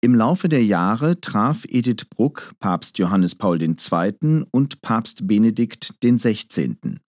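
A man's voice: lowish voice, big pitch swings, slow, a little mellow, no echo, clean studio sound. A man reading scripted documentary narration in a neutral, matter-of-fact way.

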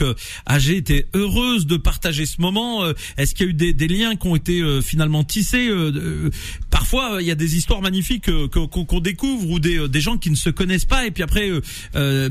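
A man hosting a morning radio show, jokey and hesitant, filling pauses with many fillers. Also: sits high, dry recording. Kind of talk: casual radio interview.

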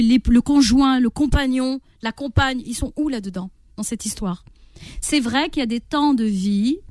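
A woman's voice: a little high, no echo. A middle-aged woman giving parenting advice as an interview guest, talking expressively and acting out the inner voices of stressed parents. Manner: animated, acts out inner voices.

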